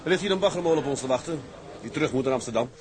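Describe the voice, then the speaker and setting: A man's voice: mid-range voice, animated, rapid-fire, heavy background noise, lightly acted. A man playing a stern, lonely, principled tugboat captain in a radio drama, speaking earnestly and tersely. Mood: confident. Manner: earnest, terse, matter-of-fact. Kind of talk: Dutch radio drama acting.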